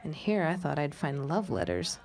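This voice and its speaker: An actress playing a nervous, plucky amateur spy who talks wryly to herself in a period audio drama. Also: female voice, deep voice, very animated, moderate pace, a little mellow, a faint crowd. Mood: curious, hushed. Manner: wry, theatrical self-talk.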